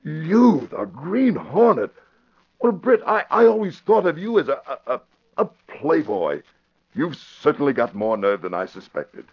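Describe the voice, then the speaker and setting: Blustery middle-aged american police commissioner, old radio drama, swoopy excitable voice, dull recording. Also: male voice, on the high side, unhurried, slightly rough, heavily acted. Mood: astonished. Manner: blustery, exclaiming, stammering when astonished. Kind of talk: 1940s radio acting.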